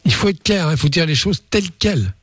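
A man's voice: fairly high pitch, expressive, fast talker, husky, no echo. A man co-hosting a radio advice show, laying things out firmly and didactically. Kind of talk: advice talk.

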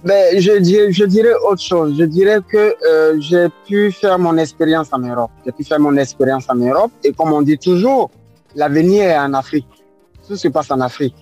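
Hesitant Ivorian man, slightly high voice. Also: moderate pace, darkish tone, lo-fi sound, faint music behind. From Ivory Coast. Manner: hesitant, many fillers and repeated words. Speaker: guest artist. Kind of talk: radio interview answers.

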